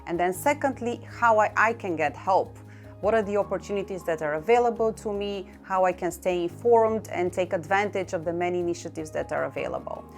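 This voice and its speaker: A middle-aged woman from Bulgaria with a Bulgarian accent, explaining her work in a measured way and listing items one by one. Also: mid-range voice. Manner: measured, lists items one by one with short pauses. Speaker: EU youth coordinator. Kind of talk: explanatory talk to camera. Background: faint background music.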